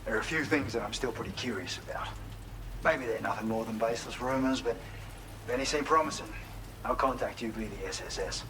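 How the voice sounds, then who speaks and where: A man playing a courteous, earnest researcher in a video game, speaking politely and in measured phrases. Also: mid-range voice, close to an everyday voice. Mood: appreciative. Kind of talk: video game dialogue acting. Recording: distant, with clear noise behind.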